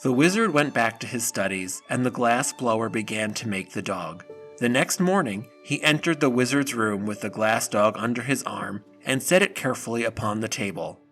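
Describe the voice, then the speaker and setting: A man's voice: medium pitch, expressive, normal speed, a bit bright, soft background music. A man narrating a scripted audio drama in the manner of a storybook reading.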